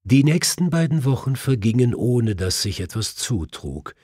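A man with a husky voice narrating a detective story audiobook as scripted reading. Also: mid-range voice, sing-song, moderate pace, dark tone, no room sound, clean studio sound.

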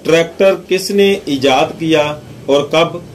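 A man narrating scripted quiz questions and answers in a measured, deliberate reading. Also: a little high, slight background noise.

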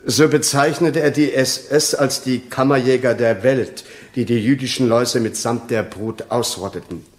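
An actor playing an earnest former soldier testifying in a radio crime drama, sober, deliberate and respectful. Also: male voice, medium pitch, fairly even intonation, close to an everyday voice.

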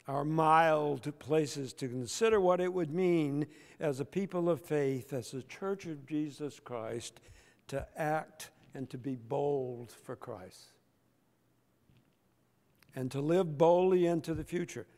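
An older man, a pastor, preaching a sermon from the pulpit with slow, emphatic delivery and deliberate pauses. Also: fairly high pitch, a little mellow. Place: large church sanctuary, at the pulpit. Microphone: pulpit microphone. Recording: long church reverb.

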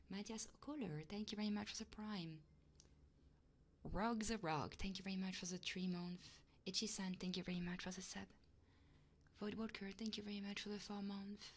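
A woman live streamer chatting casually and playfully in a soft, breathy ASMR style, often whispering, close to the microphone. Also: mid-range voice. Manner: casual and playful, swearing freely.

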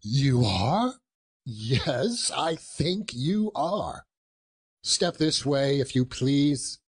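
Man putting on an emphatic old miser, swooping pitch. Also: big pitch swings, slightly rough, exaggerated voice. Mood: mock stern. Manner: growling, emphatic, drawn-out words. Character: old male miser, feigning gruffness, bursting with goodwill.

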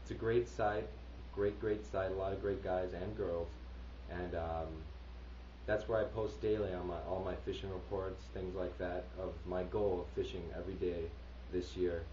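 A young man with a low, mellow voice talks in a relaxed, halting way, full of small fillers. He sounds set back from a webcam mic in a small room, so the sound is roomy and dull. A faint hiss sits under it. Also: distant mic. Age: young adult. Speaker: fishing vlogger. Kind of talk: casual video message to viewers. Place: small indoor room, wood-paneled wall.

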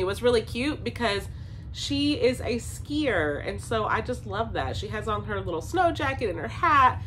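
Woman, 30s, stuffy congested voice, bright tone, chatty home vlog, faint room hum. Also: a little high, poor audio. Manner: chatty, upbeat, many "um"s and "like"s. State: congested from a head cold. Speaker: vlogger, stay-at-home mom. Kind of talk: casual chat to camera. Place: home basement, indoors. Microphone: camera in front, seated framing.

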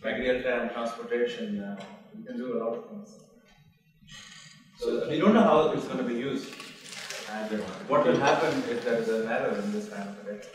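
A man's voice: mid-range voice, sing-song, normal speed. Echoey, distant man at a conference. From Norway-based. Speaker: audience member asking a question. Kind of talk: conference question from the floor. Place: large hotel conference room, heard from the floor. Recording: echoey hall, far from the microphone.

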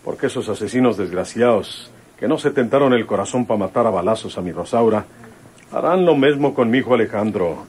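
Here is an actor as a determined, worried rural father in a radio serial. His voice is on the low side and he talks in a folksy northern Mexican country way. The old recording carries some noise. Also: animated, a little fast, darkish tone, natural voice. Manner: folksy rustic country speech. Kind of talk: radio drama acting.

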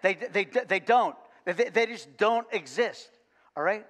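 An older man preaching a sermon in a folksy, animated way, full of repeated words and "okay?" asides. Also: high-pitched, a little slow. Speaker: pastor. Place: large church sanctuary, indoors. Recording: long reverb of a church hall.

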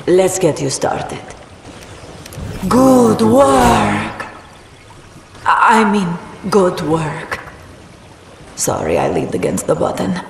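A woman's voice: mid-range voice, gravelly. A woman playing a mystical, theatrical oracle in a video game, mixing grand prophecy with wry humor. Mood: wry, playful. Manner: grand prophetic delivery dropping into wry asides. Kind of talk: video game character dialogue. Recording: echoing long reverb, game ambience noise.

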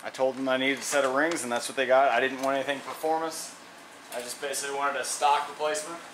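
Man, mid-pitched, casual shop narration, thinking aloud; light hum of air conditioning behind. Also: little pitch movement, quick pace, fairly bright tone, rough recording. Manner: plainspoken, thinks aloud with fillers and restarts. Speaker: mechanic demonstrating an engine rebuild. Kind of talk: casual how-to narration while working. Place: workshop garage.

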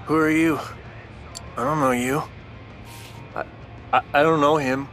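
An actor playing a jittery, paranoid, defensive middle-aged tinkerer in a video game, nervous and stammering, with an American accent. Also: male voice, on the high side, fairly even intonation, unhurried. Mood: panicked, defensive. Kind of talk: video game cutscene acting. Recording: loud film-score music behind.

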